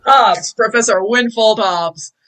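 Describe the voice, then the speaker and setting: A woman's voice: on the high side, takes it slowly, fairly bright tone. A woman playing a fussy, pedantic, self-important professor in a fan table read. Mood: dismissive, fussy. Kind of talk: fan table read over video call.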